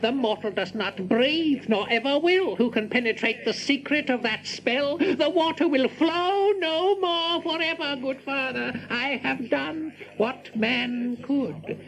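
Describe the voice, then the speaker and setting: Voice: sits high, a little slow, few pauses, a bit bright, rough recording. An actor playing a pompous, doom-laden old wizard in a radio drama, with archaic, portentous pronouncements. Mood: ominous, solemn. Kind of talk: theatrical radio drama acting.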